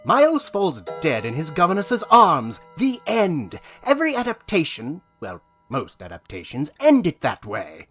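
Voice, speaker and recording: A man's voice: fairly high pitch, wildly swooping pitch, a little slow, hardly any pauses, a bit bright, breathy edge, faint music behind, full character voice. Man acting a pompous, theatrical storyteller, swooping delivery. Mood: indignant. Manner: pompous, old-fashioned declamatory phrasing. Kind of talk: audio drama acting.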